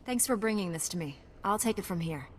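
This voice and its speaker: Resolute, righteous young warrior knight female actor for game cutscene. Mid-pitched, firm, composed delivery. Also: expressive, fairly quick, long reverb tail, a little hiss or hum, close to an everyday voice. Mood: calm, concerned. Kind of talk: video game cutscene acting.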